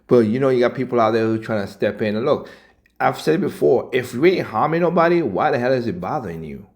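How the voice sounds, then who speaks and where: A man. He talks in casual commentary, and his voice climbs into loud, animated outbursts when he makes a point. His pitch sits in the middle range. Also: fast. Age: 40s. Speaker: channel host reacting to clips.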